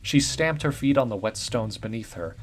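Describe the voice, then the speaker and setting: A man narrating a written story in a steady, even storytelling read. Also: mid-range voice, normal speed, a little hiss or hum. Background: faint guitar music.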